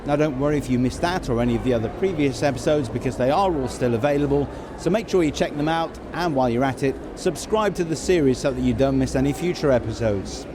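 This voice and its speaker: A man's voice: mid-range voice, fast, few pauses. Man hosting over background noise. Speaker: podcast host and interviewer. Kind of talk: upbeat podcast presenting and backstage interviewing. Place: backstage at an events venue. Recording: noisy busy backstage location.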